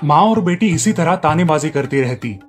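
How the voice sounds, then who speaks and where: A man narrating a family cartoon story in a steady storytelling manner. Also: fairly high pitch, moderate pace, faint music behind.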